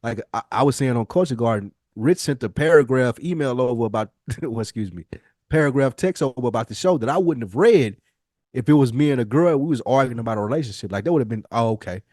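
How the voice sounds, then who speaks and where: A man's voice: mid-range voice, lively intonation, quick pace, a little mellow, no room sound. Earnest American man, close dry sound. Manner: earnest, rambling, emphatic, profanity-laced slang. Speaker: podcast host. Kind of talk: casual, reflective conversation with a co-host.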